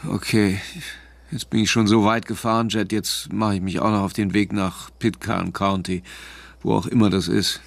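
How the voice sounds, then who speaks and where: An actor playing a weary, sympathetic investigator, hesitant and low-key, in a German radio drama. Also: male voice, level tone, moderate pace, gravelly, no room sound. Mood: sleepy, disappointed. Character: weary, sympathetic male investigator woken early.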